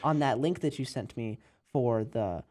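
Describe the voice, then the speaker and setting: Man, interview host, casual chat, rambling questions, mid-range pitch. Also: lively intonation, measured pace, darkish tone. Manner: laughs often, rambling questions.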